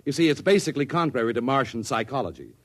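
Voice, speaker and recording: An actor playing a glib, fussy ball club owner with a genteel, explanatory manner in a 1950s radio drama. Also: male voice, mid-range voice, animated, fast talker, no echo, lightly acted. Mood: smug explaining.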